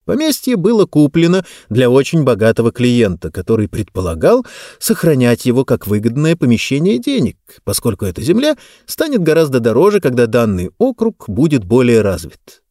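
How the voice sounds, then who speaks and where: A man narrates a novel in the first person with an expressive delivery. His mid-pitched voice swings animatedly through each sentence, and the recording is close and dry, with very little room sound. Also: normal speed, dry recording. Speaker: audiobook narrator.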